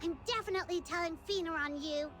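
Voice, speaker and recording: A woman's voice: extremely high pitch, fast talker, music in the background. A woman voicing a cheeky, feisty little creature in a video game, taunting like a bratty little sister. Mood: cheeky indignant.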